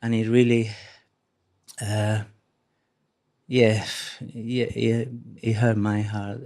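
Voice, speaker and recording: Low, flat-voiced man. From Spain. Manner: earnest, halting, restarts often. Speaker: audience member sharing a testimony.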